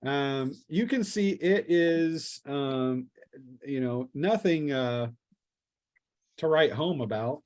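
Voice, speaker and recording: Halting male game master, slightly high-pitched. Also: animated, a little mellow. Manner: halting, hesitant, improvising. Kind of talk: improvised tabletop game narration.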